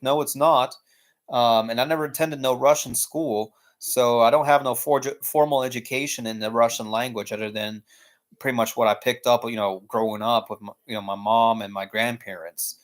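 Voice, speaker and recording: A man's voice: medium pitch, normal speed. Laid-back rambling young male streamer. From United States. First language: English. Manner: rambling, filler-heavy, laid-back, says "man" often. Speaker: live streamer. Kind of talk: casual live-stream chat reading viewer questions.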